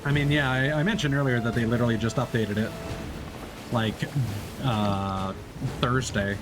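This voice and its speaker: A man's voice: mid-range voice, fairly even intonation, moderate pace, background noise. A man streaming a game, chatting casually and explaining as he plays, with lots of fillers and restarts. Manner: rambling, many fillers and restarts. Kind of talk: casual gameplay commentary.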